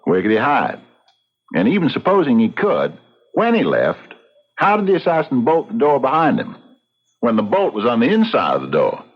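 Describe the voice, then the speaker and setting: An actor playing a shrewd, unhurried frontier sheriff in a folksy, deliberate and probing way, in the style of old-time radio drama. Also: male voice, medium pitch, wildly swooping pitch, slightly rough. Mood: probing, methodical. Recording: dull, noisy old radio recording.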